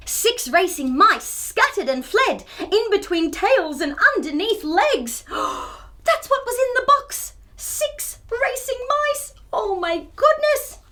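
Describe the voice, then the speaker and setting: Very high-pitched, animated woman reading to children. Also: takes it slowly. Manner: expressive, theatrical, with gasps and exclamations. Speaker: children's storytime host. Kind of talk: animated picture book read-aloud with asides to young viewers.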